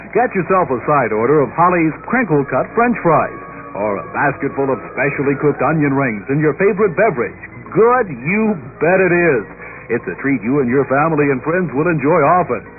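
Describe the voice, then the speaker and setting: A man announces a radio commercial with a lively, bouncing delivery and a slightly raised voice. The sound is thin and tinny over light music. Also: a little high, a little fast. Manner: smooth, upbeat selling. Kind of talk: scripted radio advertisement. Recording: tinny lo-fi 1960s radio aircheck.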